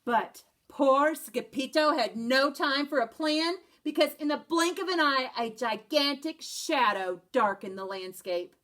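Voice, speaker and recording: A woman, a first grade teacher, reading a children's picture book aloud, doing lively voices and dropping to hushed whispers. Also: sits high, unhurried, hardly any pauses. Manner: animated storyteller voices, drops to hushed whispers.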